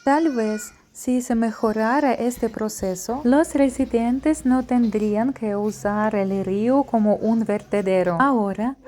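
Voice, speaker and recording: A woman narrating her travel vlog as a scripted voice-over, reading slowly and carefully from a memorized translated script. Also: mid-range voice, dark tone. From outside Paraguay. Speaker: travel vlogger. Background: faint background music.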